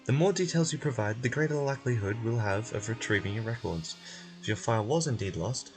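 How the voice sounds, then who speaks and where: A man's voice: mid-range voice, quick pace, music in the background, lightly acted. A man voicing a stern, officious game official obsessed with order, formal and strict.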